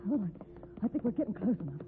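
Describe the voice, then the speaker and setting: A woman's voice: medium pitch, big pitch swings, very fast. An actress playing a spirited young cowgirl with a folksy American Western accent and earnest plain speech in a 1950s radio drama. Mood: urgent. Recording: tinny, noisy old 1950s radio recording.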